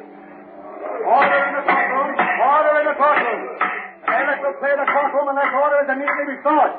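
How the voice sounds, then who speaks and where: An actor playing a stern courtroom judge, formal and declamatory, in a 1940s radio drama. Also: male voice, very high-pitched, fairly even intonation, fairly quick. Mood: commanding. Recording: tinny 1940s radio recording with hiss.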